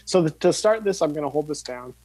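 A man's voice: fairly high pitch, lively intonation, fairly quick, over loud music. A man in his thirties giving an informal hands-on tutorial, talking casually and thinking aloud as he demonstrates. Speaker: workshop instructor. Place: indoor home studio room with tape gear.

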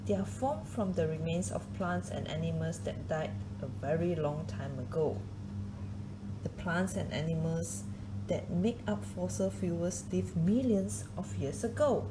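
A woman reading a children's nonfiction book aloud, deliberately and phrase by phrase. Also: fairly low pitch, noisy background.

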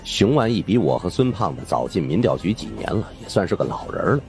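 Very deep-voiced man narrating a story. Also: very animated, brisk, creaky, a little mellow, faint music behind. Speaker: audiobook narrator. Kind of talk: scripted first-person suspense story narration.

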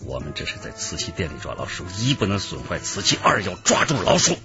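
A man's voice: low voice, wildly swooping pitch, brisk, vocal fry, exaggerated voice. An actor playing a decisive male police squad leader in a radio drama, speaking with clipped authority. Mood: firm, urgent. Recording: muffled radio drama with music underneath.

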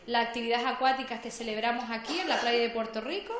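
A woman's voice: fairly high pitch, quick pace, a crowd in the background. A middle-aged woman giving an informal speech to an audience. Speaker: speaker at a community event. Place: medium-sized indoor hall. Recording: echoey room, audience sounds.

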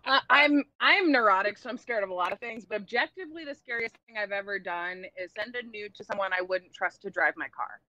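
A woman's voice: mid-range voice, brisk, few pauses, sharp tone, no echo, muffled recording. A woman in her thirties, a comedian guest on a video call, candid and self-mocking. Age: 30s. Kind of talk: joking video-call banter.

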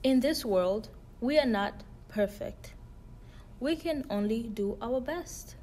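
Woman, picture book reading, slow, slightly high voice, stressed words. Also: lively intonation, warm tone, a little hiss or hum. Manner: measured storybook reading with emphasis. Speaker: student reader.

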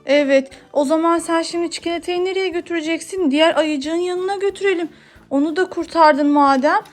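A woman's voice: very high-pitched, fairly quick, soft background music. A woman playing toys with her young son, narrating playfully for children and encouraging him with questions.

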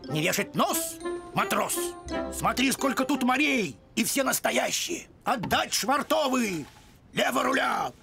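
An actor playing a gruff but kindly old grandfather dog, an old sea captain, in a cartoon, with a gravelly voice and music underneath. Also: extremely high pitch, very animated, unhurried, heavily acted. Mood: hearty, commanding. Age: older. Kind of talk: animated cartoon acting.

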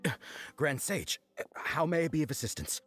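A timid young male scholar in a fantasy game. His middle-pitched voice jumps around lively as he stammers. He speaks hesitantly and apologetically. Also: moderate pace, soft background music. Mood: nervous.

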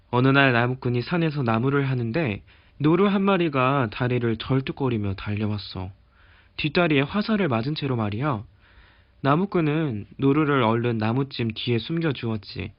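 A man reading a fairy tale aloud to children in a gentle, chatty storytelling manner. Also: medium pitch, lo-fi sound. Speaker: storybook reader.

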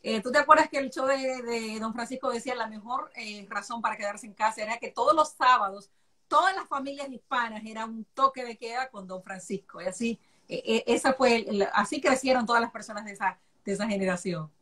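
A woman's voice: fairly high pitch, fairly quick, sharp tone, recorded from a distance. A woman hosting an interview over a video call, chatting agreeably with frequent restarts. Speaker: host. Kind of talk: conversational interview.

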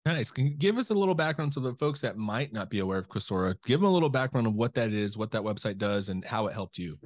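A man's voice: on the low side, big pitch swings, fast talker. A middle-aged man hosting a podcast interview over a video call, upbeat and friendly, asking loose, rambling questions. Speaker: podcast host. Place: home room. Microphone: studio mic with headset on video call.

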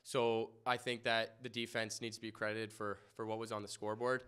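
A young man answering reporters after a game in an indoor press room, humble and halting, with many fillers and restarts. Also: fairly low pitch, level tone, a bit bright, clear, resonant tone. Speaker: lacrosse goalie. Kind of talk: post-game press interview. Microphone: podium mic in front.

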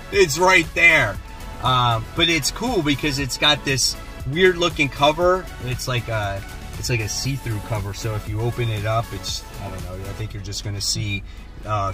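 A man in his forties hosting a casual vlog from a parked car, chatty and relaxed, filmed on a phone at arm's length. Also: medium pitch. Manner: relaxed, chatty, enthusiastic about his finds. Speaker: comic book channel host. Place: parked car, then outdoors and inside a shop. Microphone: phone camera at arm's length.

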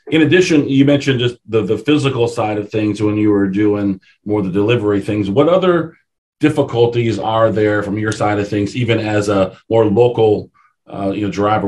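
A man's voice: lowish voice, a little mellow. A man hosting a radio interview, asking questions and affirming his guest. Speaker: radio show host.

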